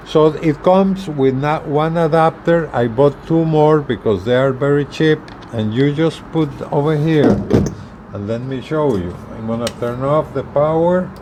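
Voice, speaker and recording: A man giving a casual product demonstration as a video presenter, talking haltingly and repeating words as he explains. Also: on the high side, noisy background. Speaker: hobbyist video presenter, truck driver.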